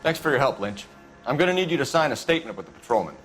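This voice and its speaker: An actor playing a formal, clipped 1940s police detective questioning witnesses in a game cutscene. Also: male voice, mid-range voice, big pitch swings, racing, a bit bright, faint music behind. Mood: tense. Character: stiff, earnest male 1940s police detective. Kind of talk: video game cutscene acting.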